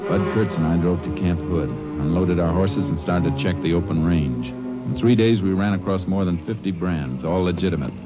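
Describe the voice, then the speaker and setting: Man playing shrewd ranger investigator, low voice, matter-of-fact, 1950s radio acting, dull vintage broadcast sound. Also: moderate pace, loud music behind the voice, natural voice. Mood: businesslike. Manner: clipped, businesslike, matter-of-fact. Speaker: lead character and narrator. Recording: noisy, dull 1950 radio broadcast recording.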